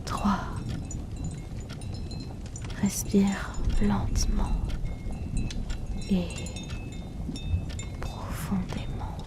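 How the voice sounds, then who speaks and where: A woman voicing an aloof, wealthy hypnotist in a whispered ASMR roleplay, speaking softly and teasingly into a close mic. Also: mid-range voice, monotone, noisy background. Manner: soft teasing whisper, unhurried.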